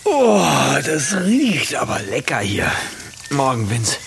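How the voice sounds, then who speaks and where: A man's voice: a little high, huge pitch swings, slow pace, creaky, a little hiss or hum, full character voice. An actor playing a casual, chummy man among friends in a naturalistic audio drama, speaking colloquially. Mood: chummy, grumbling. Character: casual, evasive male friend who sneaks cigarettes.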